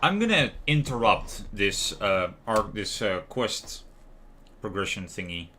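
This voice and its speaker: A man's voice: lowish voice, very animated, unhurried, noisy background. A man livestreaming a video game in casual commentary, musing aloud and often trailing off to question himself. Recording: music playing behind the voice. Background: lo-fi music, keyboard typing and clicks.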